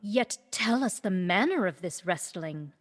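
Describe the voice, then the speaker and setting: A wistful, witty young noblewoman in a Shakespearean radio play. She speaks at an unhurried pace in a medium-pitched voice. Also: expressive. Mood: curious. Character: banished duke's young daughter, wistful yet witty.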